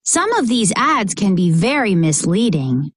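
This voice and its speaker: A woman reading a children's picture book aloud in a measured, expressive way, narrating and acting out short bits of dialogue. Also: medium pitch, breathy edge, dry recording, studio quality.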